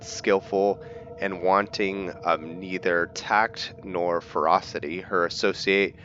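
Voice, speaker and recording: A man giving casual video game commentary and reading in-game text aloud, halting and stumbling over words. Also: low voice, pushed and bright. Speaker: video game let's player. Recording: dull lo-fi mic, light background noise.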